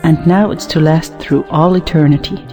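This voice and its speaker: A woman's voice: sits low, normal speed, dark tone, soft background music. A woman acting an affectedly sweet, knowing married society woman of forty in a stage comedy audio play. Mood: knowing, conspiratorial.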